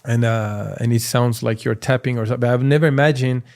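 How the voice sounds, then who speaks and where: A man's voice: mid-range voice, fast talker, vocal fry. A man with a French accent chats casually as a podcast guest. He tells stories with energy and acts out the voices of people he quotes. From France. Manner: animated storyteller, acts out quotes. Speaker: comedian guest.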